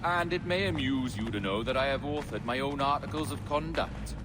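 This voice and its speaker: A man's voice: mid-range voice, a little fast, lightly acted. A man playing a cunning, grandiose pirate captain in a video game, eloquent and sardonic in a theatrical way. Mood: grandly amused. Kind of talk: video game cutscene acting. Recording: game audio with clear ambient noise.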